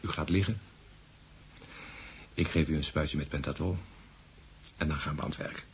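An actor playing a calm psychiatrist in a radio play, coaxing and probing in a measured, clinical way. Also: male voice, rumbling low, a little hiss or hum. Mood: soothing. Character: calm, probing male psychiatrist guiding a patient. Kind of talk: Dutch-language radio play acting.

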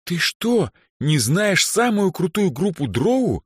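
A male narrator plays an excitable young dark elf woman from a fantasy audiobook. Her voice is pitched high and swings freely as she teases and boasts, every phrase bright with party-girl enthusiasm. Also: normal speed, no room sound, clean studio sound. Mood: excited. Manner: excitable, teasing, exclamatory.

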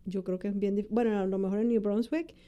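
A woman's voice: mid-range voice, fairly even intonation, fast, warm tone, dull audio. A middle-aged woman, an immigration lawyer co-hosting a podcast, speaking frankly and casually and often finishing her partner's sentences. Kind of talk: casual conversational chat.

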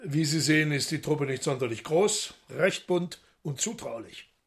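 Devilish master, raspy, fairly high male pitch, imperious, courtly, sardonic, radio drama. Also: lively intonation, moderate pace, heavily acted. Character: commanding, sardonic devil holding court among his retinue. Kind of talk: radio play acting.

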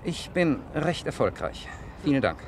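An actor playing a sardonic, smug young defence lawyer in a 1960s German radio play, speaking in a dry, ironic way. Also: male voice, a little high, big pitch swings, normal speed. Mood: smug, joking. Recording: noisy 1960s radio recording with clicks.

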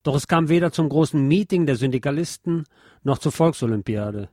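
A man's voice: a little high, animated, brisk, mellow, no room sound, clean studio sound. A man reading a scripted historical narration aloud as a narrator.

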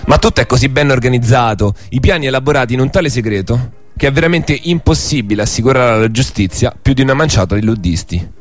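A man's voice: mid-range voice, fast, few pauses. A man hosting a radio programme, narrating a scripted historical documentary. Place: radio studio. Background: music.

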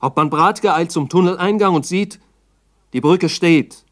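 A man's voice: high voice, unhurried, fairly bright tone. A man narrating a 1960s documentary radio drama in a terse, factual reporting manner.

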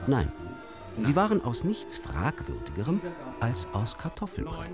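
An actor playing a wry storyteller in a musical radio play, reciting deliberately and theatrically with pointed pauses. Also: male voice, medium pitch, wildly swooping pitch, over loud music. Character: wry male storyteller of absurd fairy tales. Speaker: narrator.

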